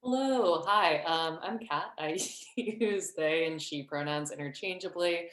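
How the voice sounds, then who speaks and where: Low-pitched woman in an echoey room. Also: a little slow, fairly bright tone. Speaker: theater director on a talkback panel. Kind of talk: casual panel reflection.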